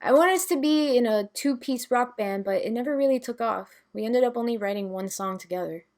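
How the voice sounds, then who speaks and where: A woman's voice: on the high side, brisk, a little mellow, lightly acted. A woman playing a sarcastic, blunt young woman with simmering resentment in an audio drama. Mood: matter-of-fact. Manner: snarky, dismissive. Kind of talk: amateur audio drama acting.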